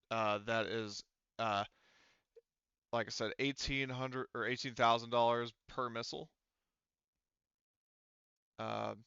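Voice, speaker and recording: A man referees a tabletop roleplaying game in a low voice with gravelly fry. He talks casually and haltingly, working through rules aloud. The sound is very dry and a little muffled, like a lo-fi close mic. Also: sharp tone, no room sound. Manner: halting, thinking aloud while checking rules. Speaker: game master.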